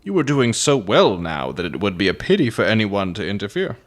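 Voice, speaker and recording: A man playing a clever amateur detective in a dramatic story reading, measured, amused and politely sarcastic. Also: on the low side, sing-song.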